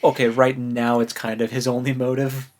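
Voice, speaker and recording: A man with a medium-pitched voice chats as co-host of a book podcast. He recaps loosely and conversationally, as if talking with a friend. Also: level tone, normal speed, a little mellow.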